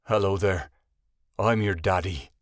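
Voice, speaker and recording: A man doing a young father in a storybook reading, speaking softly and slowly near his own voice. The sound is close and dry. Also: sits low, dry recording, pitched below the performer's own voice. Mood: tender.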